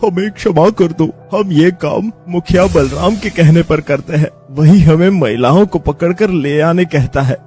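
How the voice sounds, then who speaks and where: A man's voice: fairly high pitch, unhurried, hardly any pauses, mellow, soft background music, lightly acted. An actor voicing a shifty village man in a Hindi cartoon story, with emphatic, theatrical delivery. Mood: pleading, confessing. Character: village men, evasive headman and his caught henchmen.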